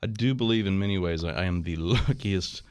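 Low-voiced, jovial male radio host. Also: brisk, smooth, dry recording. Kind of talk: casual talk radio hosting. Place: radio studio.

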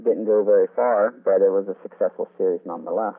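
A man speaks over a thin, phone-like line in a fairly low voice. He is an interview guest, an author explaining the history of old radio at length. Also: fairly even intonation, fast talker. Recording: phone-like narrow, tinny sound.

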